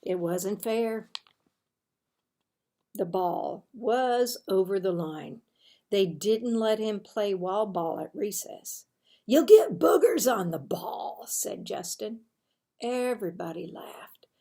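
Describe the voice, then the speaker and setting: A woman reading a children's storybook aloud in a deliberate, expressive storytelling way. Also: medium pitch.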